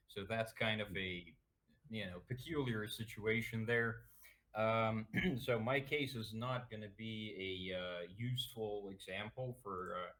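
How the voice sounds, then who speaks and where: A man in his thirties from Russia, talking casually on a video call stream, hesitant with many fillers and throat clearing. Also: fairly low pitch, fairly bright tone, low-quality recording. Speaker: guest. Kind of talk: casual chat.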